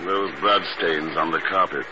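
On a muffled, noisy old radio recording, a shrewd secret agent. His low voice is cool, terse and dry. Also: fairly even intonation, measured pace. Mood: cool, skeptical. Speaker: lead actor in a radio drama. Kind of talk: 1950s radio acting.

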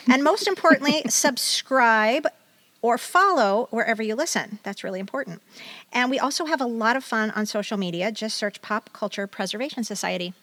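A woman podcast co-host with a very bright voice, recorded close and dry. She sounds middle-aged and chats in an enthusiastic, casual way. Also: a little high, normal speed, dry recording. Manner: enthusiastic, chatty.

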